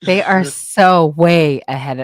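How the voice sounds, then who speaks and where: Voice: medium pitch, takes it slowly, no room sound, studio quality. A podcast host on a video call chatting casually, rambling a little and gushing with praise for the guest. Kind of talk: casual interview chat.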